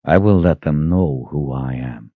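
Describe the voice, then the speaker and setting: A man's voice: rumbling low, a little slow, no echo, phone-quality sound. A man voicing a cold, defiant fantasy hero in an audiobook, taunting and intense. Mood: cold menace. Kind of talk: dramatic audiobook character acting.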